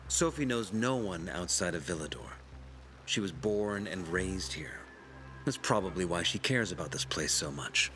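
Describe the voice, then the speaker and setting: Low-voiced, preachy survivor leader. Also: male voice, expressive, bright, forceful tone. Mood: calm menace. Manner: deliberate, pompous, preacherly. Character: shrewd, preachy male leader of a survivor community. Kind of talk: dramatic video game acting. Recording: background music under the voice.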